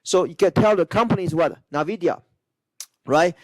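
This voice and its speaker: A man's voice: sits high, normal speed, no echo. A man who is a non-native English speaker lecturing informally over slides, repeating himself and checking in with listeners. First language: not English, non-native speaker. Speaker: university lecturer.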